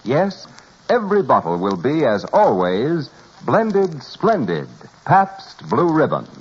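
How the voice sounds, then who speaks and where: A male announcer pitches in an emphatic, old-time radio style, his voice husky and very lively in pitch. The sound is dull, like a vintage broadcast. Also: takes it slowly. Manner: emphatic salesman's delivery. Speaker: commercial announcer. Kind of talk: 1940s radio advertisement, scripted.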